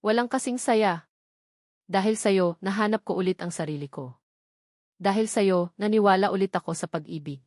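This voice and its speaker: Picture a gentle young husband in a romantic audio drama, voiced by a woman narrator who keeps her own pitch. He speaks with earnest tenderness, and the voice sits at a middling pitch. The sound is close and dry, with no room around it. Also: moderate pace, long pauses, no echo, natural voice. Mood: tender. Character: tender young husband, former security guard.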